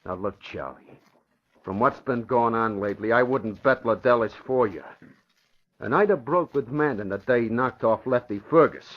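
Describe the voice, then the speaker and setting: An actor playing an insistent, nervy gangster's lieutenant in an old radio crime drama, talking in tough underworld slang. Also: male voice, mid-range voice, normal speed, lightly acted. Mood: insistent, wary. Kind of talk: 1940s radio crime acting. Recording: dull vintage radio recording.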